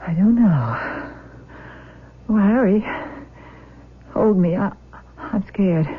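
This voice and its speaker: A woman's voice: mid-range voice, animated, extremely slow, breathy edge, exaggerated voice. A woman playing a hesitant, protective wife and mother in a 1970s radio drama. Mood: frightened. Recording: muffled, narrow-band 1970s radio broadcast.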